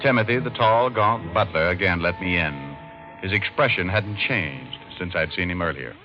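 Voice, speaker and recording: Actor as sardonic gumshoe, low voice, deadpan wisecracks, 1940s radio noir, muffled old recording. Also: male voice, normal speed, soft background music, close to an everyday voice. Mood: dry narration. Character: wry, wisecracking hard-boiled male private detective.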